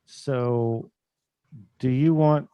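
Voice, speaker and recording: A man leading a school board meeting in a brisk, lightly joking manner. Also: medium pitch, steady tone, mellow, clear, resonant tone, dry recording.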